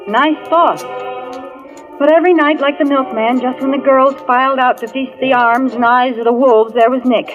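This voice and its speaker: Actress doing sassy scared dance hall dame, high pitched, old radio thriller sound w some music. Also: female voice, fairly even intonation, moderate pace, close to an everyday voice. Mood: tense narration. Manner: sassy, streetwise, slangy. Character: wisecracking, frightened young red-haired dance hall hostess. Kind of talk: 1940s radio thriller acting and first-person narration. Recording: muffled old radio recording, music behind.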